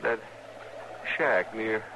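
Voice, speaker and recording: An actor playing a badly wounded, bitter country man with a rural American accent, weak and halting, in an old-time radio western. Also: lowish voice, noisy background.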